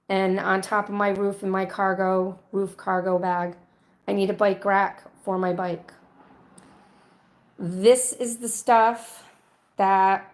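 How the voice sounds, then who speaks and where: A woman hosting a casual livestream, her voice raspy from being sick, talking hesitantly with many ums while she walks through a half-packed apartment and reads viewer comments aloud. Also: medium pitch. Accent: New England. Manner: slow, walking through rooms listing items. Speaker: vlog host on a livestream. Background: road noise.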